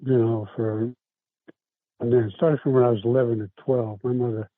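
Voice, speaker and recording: A man's voice: medium pitch, flat delivery, dry recording. Older new guy rambling his life story, lots of restarts, tinny dry phone-ish sound. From South Brooklyn, New York. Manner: rambling, halting, many restarts and fillers. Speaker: interview guest. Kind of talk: casual life-story interview.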